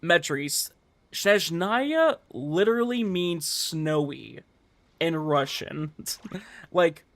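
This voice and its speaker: A man's voice: a little high, expressive, extremely slow, a bit bright, dry recording. A man chatting casually as a livestream host, rambling in informal gamer slang and acting out imagined quotes. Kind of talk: casual chat while streaming a game.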